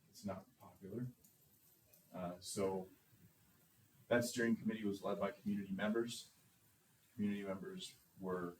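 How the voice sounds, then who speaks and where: A very low-voiced man answers interview questions with confident, measured self-assurance. He is picked up from a distance in a room that echoes, with a faint hiss underneath. His manner is steady and deliberate. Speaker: superintendent candidate. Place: meeting room.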